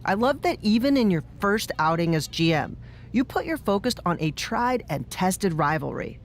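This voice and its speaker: A woman's voice: mid-range voice, very animated, normal speed, slight background noise. A woman playing an easygoing veteran pro wrestler in a video game cutscene, wry and candid as she talks. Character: easygoing veteran female wrestler seeking a grudge match.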